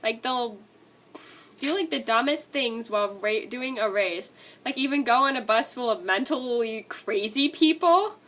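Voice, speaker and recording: Bright-voiced young woman with a cold. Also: mid-range voice. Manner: rambling, many likes and restarts. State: sick, stuffy nose and scratchy throat. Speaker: vlogger. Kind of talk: casual movie list vlog.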